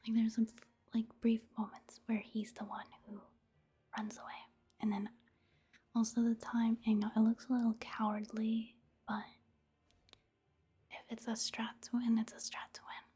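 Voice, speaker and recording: A woman giving soft-spoken ASMR commentary on a video game in a breathy, whispery voice, hushed and halting. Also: a little high, little pitch movement. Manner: hushed, unhurried, halting delivery. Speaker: gaming ASMR creator.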